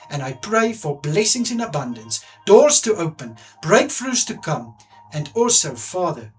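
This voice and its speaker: A man's voice: high voice, rough recording. Earnest Afrikaans-accented man praying. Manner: earnest, fervent, with swelling emphasis on petitions. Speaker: prayer leader. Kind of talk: spoken prayer.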